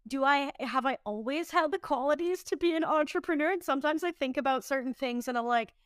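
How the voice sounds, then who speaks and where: A woman chatting casually on a podcast, reflective and informal, thinking out loud. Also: sits high, a little fast, no room sound, clean studio sound. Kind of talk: casual conversational podcast chat.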